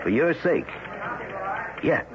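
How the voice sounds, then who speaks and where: A cynical, wary newspaper colleague. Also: male voice, on the high side, expressive, slow. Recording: muffled old broadcast with faint noise.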